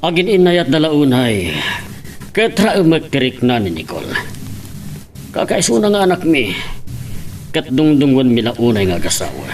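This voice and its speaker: A man's voice: medium pitch, wildly swooping pitch, a little slow, long pauses, darkish tone, faint music behind. A man playing a doting middle-aged father in a radio soap. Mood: proud, confiding. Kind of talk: Philippine radio soap acting.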